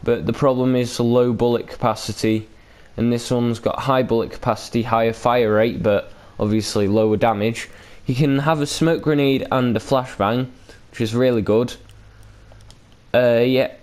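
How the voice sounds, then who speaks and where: A man's voice: mid-range voice, steady tone, moderate pace, darkish tone, smooth. A man hosting a gaming video with casual, unscripted commentary, rambling and hesitant with loose, sweary language.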